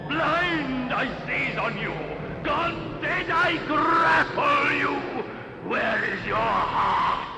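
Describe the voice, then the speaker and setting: An actor playing a crazed, commanding blind starship captain in a declamatory 1960s radio drama style. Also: male voice, very high-pitched, animated, drawn-out words, creaky, shouting, heavily acted. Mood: raging defiance. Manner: declamatory, theatrical. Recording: echoing, very noisy old radio recording.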